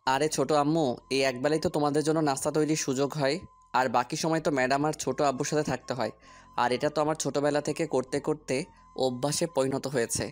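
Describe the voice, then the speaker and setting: A man's voice: a little high, fairly even intonation, fairly quick, close to an everyday voice. A man playing a dutiful young servant and driver in an audio story, polite and deferential, also narrating in first person. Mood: reassuring. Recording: music bed under voice.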